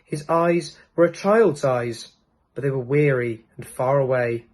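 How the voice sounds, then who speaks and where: A man's voice: medium pitch, unhurried, a little mellow. A man reading a children's storybook as scripted narration. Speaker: storybook reader.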